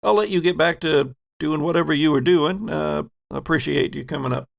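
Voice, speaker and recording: A man's voice: on the high side, creaky. A man playing a polite, hesitant spaceship boss who hedges his way through sentences in a tabletop game. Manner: halting, hedging, mild-mannered. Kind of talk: improvised in-character dialogue in a tabletop game.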